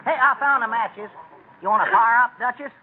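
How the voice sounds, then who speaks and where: An actor playing a folksy, grumbling rustic servant with a rural Southern American accent in an old radio comedy. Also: male voice, very high voice, brisk, narrow, tinny audio, crowd noise. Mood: eager. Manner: folksy, slangy, complaining. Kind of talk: 1940s radio comedy acting. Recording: very noisy, thin old radio recording.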